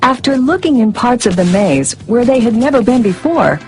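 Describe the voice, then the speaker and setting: A woman giving scripted storybook narration in an expressive way, with music behind her. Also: fairly high pitch, moderate pace.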